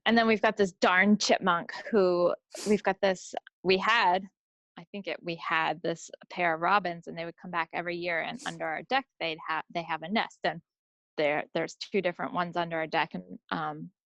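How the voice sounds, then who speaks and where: Lowish-voiced woman podcaster, slightly muffled recording. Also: flat delivery, moderate pace, a bit bright, no echo. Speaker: podcast co-host. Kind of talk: casual chat.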